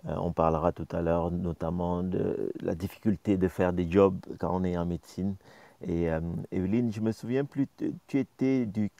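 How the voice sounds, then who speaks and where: A man co-hosting an interview, asking questions and explaining in a measured way. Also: low-pitched, dark tone, no echo. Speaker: co-host. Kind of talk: interview talk.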